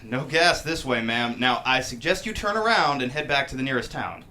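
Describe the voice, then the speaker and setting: A man's voice: medium pitch, moderate pace, bright, forceful tone, slight background noise, natural voice. A man playing a stern, unyielding road worker in a script read, curt and firm. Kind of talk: screenplay table read.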